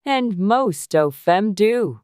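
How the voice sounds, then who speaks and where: A rude storybook Duchess, acted out by a man, haughty and blunt. The voice is raised high and drawn out slowly, with a smooth finish. It is curt and full of disdain. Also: expressive, a little mellow, dry recording, clean studio sound.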